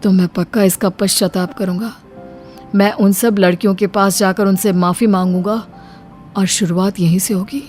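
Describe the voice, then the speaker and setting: A woman's voice: medium pitch, steady tone, moderate pace, darkish tone, close to an everyday voice. A woman voicing an anxious, earnest young man in a story dialogue. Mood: remorseful. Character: nervous, guilt-ridden young man of the family. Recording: music bed under the voice.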